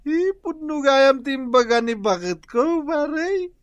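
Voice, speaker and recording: A man's voice: very high-pitched, animated, takes it slowly, no room sound, exaggerated voice. A man with an Ilocano accent playing a carefree, lazy, hard-drinking husband in a radio comedy-drama. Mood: dismayed.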